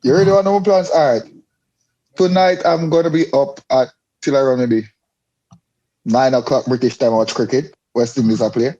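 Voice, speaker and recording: A man's voice: mid-range voice, fairly even intonation, normal speed, long pauses, dark tone, muffled recording. A man with a Jamaican accent, guest hosting a casual podcast and chatting informally. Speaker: guest host.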